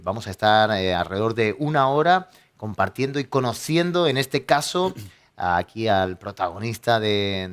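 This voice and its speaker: Joking pastor hosting. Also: male voice, lowish voice. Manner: friendly, joking, drawn-out fillers. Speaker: pastor and program host.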